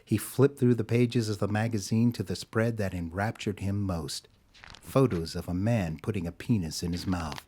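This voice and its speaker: A man with a fairly low voice narrates a scripted story. His delivery is deliberate and dramatic, shaped for an audio drama. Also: a little mellow, slightly rough.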